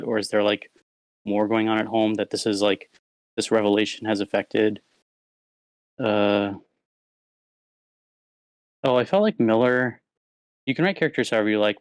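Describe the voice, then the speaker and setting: A man with a fairly low voice talks through his feedback casually and tactfully. He hedges as he goes, speaking into an online meeting mic with little room sound. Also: no echo. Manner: hedging, diplomatic. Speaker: participant giving script feedback. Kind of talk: casual spoken critique. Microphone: online meeting microphone.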